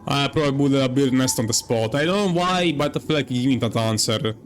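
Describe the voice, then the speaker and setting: A man giving casual, halting commentary as he plays a game, thinking aloud and repeating himself. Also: medium pitch, slight background noise. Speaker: gaming streamer.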